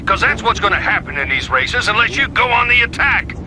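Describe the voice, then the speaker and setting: A man's voice: sits high, very animated, a little fast, background noise. A man voicing a tough, bossy, no-nonsense racing coach in a video game. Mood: menacing, instructive.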